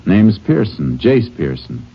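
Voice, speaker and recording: An actor playing a calm, laconic Texas Ranger who needles a suspect in an old-time radio drama. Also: male voice, fairly low pitch, breathy edge, full character voice. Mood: calm needling. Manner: laconic, unhurried, baiting. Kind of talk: 1940s-50s radio drama acting. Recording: muffled, noisy vintage radio recording.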